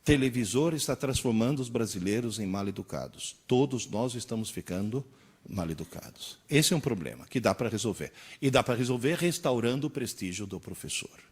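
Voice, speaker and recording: Man, sixties, lecturer, husky, lively pitch, rhetorical questions. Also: brisk. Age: 60s. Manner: animated, rhetorical questions, acts out dialogues. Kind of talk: lively public lecture to a live audience.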